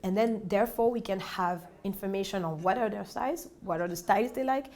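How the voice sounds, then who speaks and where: A woman's voice: medium pitch, brisk, darkish tone. A woman in her twenties from Senegal, speaking earnestly in a documentary interview in a clothing shop, often restarting her sentences. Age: 20s. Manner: earnest, self-correcting, many restarts. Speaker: entrepreneur.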